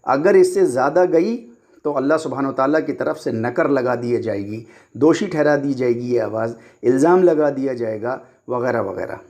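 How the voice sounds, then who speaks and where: A man's voice: mid-range voice, moderate pace, darkish tone. A man lecturing on religion in a didactic way, explaining step by step and repeating key points for emphasis.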